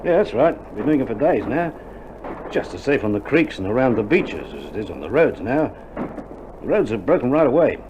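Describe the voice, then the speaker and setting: An actor playing a weary, dry-humoured Australian army doctor in a wartime radio drama, speaking in a colloquial, matter-of-fact way. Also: male voice, mid-range voice, very animated, moderate pace, close to an everyday voice. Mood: weary, wry. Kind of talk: recorded radio drama acting. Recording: dull vintage vinyl recording, loud noise.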